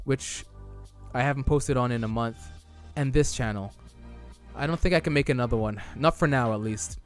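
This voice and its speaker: A young man live-streaming, reading viewer chat aloud and answering it casually, his voice wearing out from a long stream. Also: medium pitch. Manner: chatty, reads chat aloud and answers it, loose restarts. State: losing his voice.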